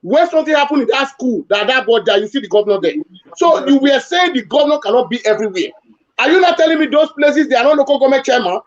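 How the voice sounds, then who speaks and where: A Nigerian man pushes his argument in a live town hall debate. His voice sits high and carries an insistent, repetitive drive. The audio is muffled and lo-fi. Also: lively intonation, quick pace. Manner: emphatic, argumentative, repeats points.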